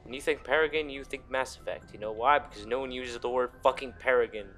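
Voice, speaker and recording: A man's voice: medium pitch, fast, bright, forceful tone, soft background music. A man giving casual, sarcastic let's play commentary with plenty of swearing as he plays a video game. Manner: profane, sarcastic. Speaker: gaming video host.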